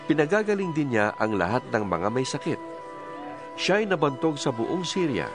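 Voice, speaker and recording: A man narrating a dramatized scripture reading in a measured storytelling manner. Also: mid-range voice. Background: music.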